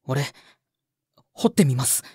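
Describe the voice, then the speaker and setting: A man's voice: slow pace, dark tone, dry recording, studio quality, natural voice. A young man playing a timid, earnest junior sound worker in an audio drama, polite, hesitant and easily flustered. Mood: earnest, tentative. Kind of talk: audio drama acting with inner monologue. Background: background music.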